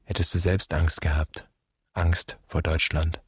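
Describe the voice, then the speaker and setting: A man's voice: low-pitched, little pitch movement, measured pace, husky, no room sound, clean studio sound, exaggerated voice. A man acting a wounded, fearful son confronting his father, in terse, poetic, broken phrases for a radio drama. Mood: grave. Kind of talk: stylized German radio drama dialogue.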